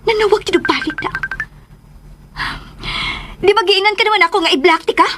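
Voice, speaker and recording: A woman's voice: extremely high pitch, brisk, a bit bright, faint music behind. An actress playing a feisty, easily annoyed young woman accountant in a romantic radio drama. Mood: irritated.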